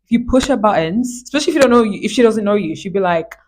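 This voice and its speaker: A young woman from Israel in her twenties talks casually on a friend's podcast. Her voice sits in a middle register, and she is lively and animated, often laughing and drawing out words for emphasis. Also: medium pitch, very fast. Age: 20s. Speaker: podcast guest. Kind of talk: casual chat.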